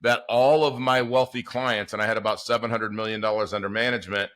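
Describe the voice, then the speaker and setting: Bright-voiced enthusiastic podcast host. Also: male voice, lowish voice, fairly even intonation, quick pace. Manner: enthusiastic, chatty. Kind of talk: casual interview chat.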